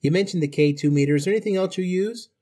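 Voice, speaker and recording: Chatty man, higher-pitched voice. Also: racing, warm tone, breathy edge. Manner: chatty, folksy, joking. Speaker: podcast host. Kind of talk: casual conversational interview.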